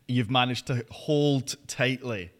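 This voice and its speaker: A man with a Glasgow accent, co-hosting a faith podcast in a jovial way and chiming in with quick agreements. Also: mid-range voice. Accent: Scottish, Glaswegian. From Scotland. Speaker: podcast co-host. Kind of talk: casual chat and promo intro.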